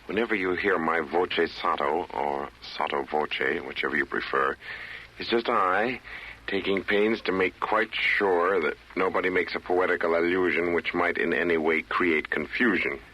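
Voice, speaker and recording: An actor in a 1940s radio verse comedy playing a fussy, pedantic explainer, confiding and precise. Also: male voice, low-pitched, normal speed. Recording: muffled, noisy 1940s radio broadcast.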